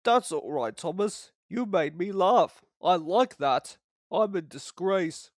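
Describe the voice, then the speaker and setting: A man's voice: sits high, lively intonation, slow pace, no room sound, studio quality, full character voice. A man voicing a pompous, hearty big express engine in a grand, blustering manner. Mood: rueful.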